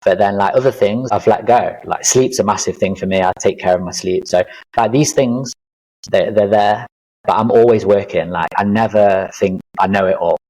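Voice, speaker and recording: Deep-voiced thirties man, earnest and reflective. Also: moderate pace, darkish tone, low-quality recording. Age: 30s. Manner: reflective, earnest, lists experiences with many fillers. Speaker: podcast guest, life coach. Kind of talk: casual interview chat.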